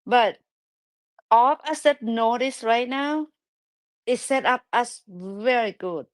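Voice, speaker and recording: A woman with a thick Thai accent hosting a live audio chat, speaking broken English in an animated, excitable way. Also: on the high side, slow, no room sound. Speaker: host.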